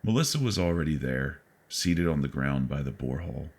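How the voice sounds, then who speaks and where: Very deep gravelly male horror narrator. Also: expressive. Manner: deliberate, suspenseful delivery with dramatic pauses. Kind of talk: dramatic first-person horror story narration.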